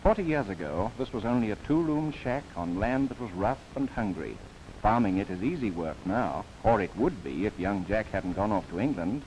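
A man's voice: on the low side, lively intonation, moderate pace, darkish tone, slight background noise, poor audio. A man narrating a scripted 1930s government documentary in formal, theatrical phrasing with wry asides, on an old film soundtrack.

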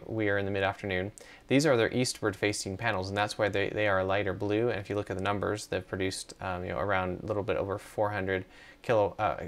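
A man's voice: fairly low pitch, fairly bright tone. A middle-aged man giving a casual screen walkthrough in a hesitant, conversational way. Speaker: tutorial presenter.

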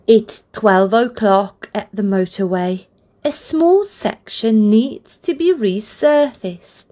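A woman reading a picture book aloud for toddlers, with deliberate, expressive phrasing and encouraging asides. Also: mid-range voice. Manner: deliberate, expressive phrasing aimed at small children. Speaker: children's storybook reader, channel host.

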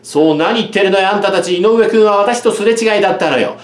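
Brief: a sharp, high-voiced schoolgirl scolding bullies, put on by a male storyteller. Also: natural voice. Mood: indignant. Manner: scolding, rapid, near tears. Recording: roomy echo.

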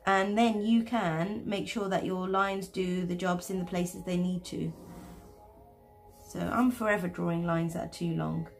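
A woman's voice: lowish voice, fairly even intonation, unhurried, faint music behind. A woman talking viewers through drawing steps at her desk, chatty and self-deprecating. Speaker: art teacher. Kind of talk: step-by-step drawing tutorial.

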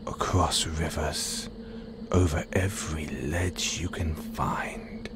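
Slow, whispering predatory werewolf. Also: male voice, a bass voice, fairly even intonation, over music. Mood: menacing, possessive. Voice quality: breathy whisper. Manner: slow, menacing, seductive. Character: predatory, possessive male werewolf stalking his chosen mate. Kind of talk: intimate audio roleplay.

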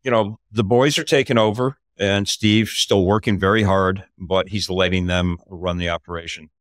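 An older man, a podcast host, telling a story in casual talk over a video call from a home office, his voice climbing when he gets excited. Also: low voice, normal speed, no room sound, clean studio sound.

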